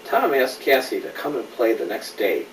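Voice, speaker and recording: A middle-aged man reading a children's story aloud in an animated way, with conversational questions to a young listener. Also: medium pitch, moderate pace. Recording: roomy, distant home recording with noise.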